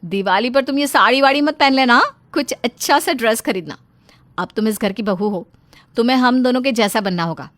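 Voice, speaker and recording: A woman's voice: a little fast, fairly bright tone, dry recording, close to an everyday voice. A woman voicing a snobbish, flashy young daughter-in-law with a haughty, nagging manner in a storybook tale. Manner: haughty, nagging, high-swooping. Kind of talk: storybook character acting.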